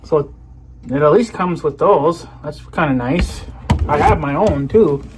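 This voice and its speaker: A man's voice: fairly high pitch, measured pace. A man chatting casually to viewers as he shows off an item, rambling and often trailing off mid-sentence. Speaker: video creator. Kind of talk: casual unboxing chat to viewers. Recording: clear background noise.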